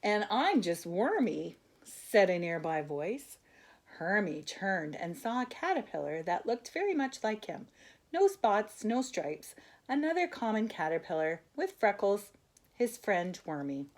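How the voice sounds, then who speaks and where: Animated older woman reading to children. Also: mid-range voice, a little slow. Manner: expressive, asks the listeners questions. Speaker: grandmother reading children's books aloud. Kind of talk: animated read-aloud storytelling for children.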